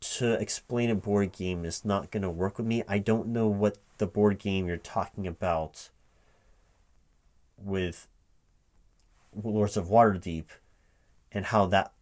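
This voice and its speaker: A man playing a recruiting interviewer in a one-sided spoken ASMR roleplay, halting and repeating his words in a baffled, exasperated way. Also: fairly low pitch, steady tone, darkish tone. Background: computer keyboard typing.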